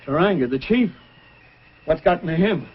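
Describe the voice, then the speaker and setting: A man's voice: fairly high pitch, expressive, normal speed, breathy edge. An actor playing a worried, stubborn invalid father with an insistent manner in an old-time radio adventure. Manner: anxious, insistent. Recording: dull old radio, faint noise.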